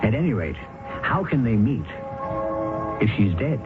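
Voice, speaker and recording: A man's voice: on the low side, big pitch swings, unhurried. A man hosting an old radio drama, giving wry, knowing theatrical commentary between the acts. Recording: old radio broadcast, phone-like band with music.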